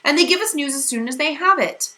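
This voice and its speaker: A woman voicing an insecure, emotional teenage girl whose voice runs high, strained and shaky. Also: moderate pace, fairly bright tone. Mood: distraught.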